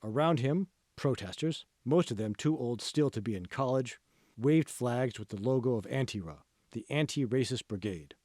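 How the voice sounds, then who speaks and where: A man narrates a novel in a scripted audiobook style. His voice sits at a medium pitch, and the recording is dry, with no room sound. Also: expressive, moderate pace, dark tone, dry recording. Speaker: author reading own audiobook.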